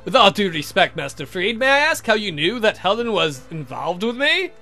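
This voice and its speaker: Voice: mid-range voice, very animated, normal speed, bright, forceful tone, faint music behind. A woman voicing a polite, restrained male vampire count with dry delivery, for a visual novel game. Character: wry, courteous male vampire count, young suitor.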